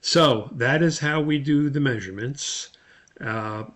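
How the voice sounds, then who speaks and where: A man giving a methodical, step-by-step spoken tutorial as an instructor, with a creaky voice. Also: medium pitch, a little slow, fairly bright tone. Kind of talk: spoken screen-share tutorial.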